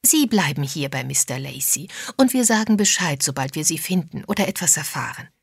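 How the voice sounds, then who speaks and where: A woman's voice: deep voice, lively intonation, fairly quick, vocal fry, breathy edge, no echo, studio quality, exaggerated voice. A woman voicing a kindly village woman, reassuring and practical, in an audiobook.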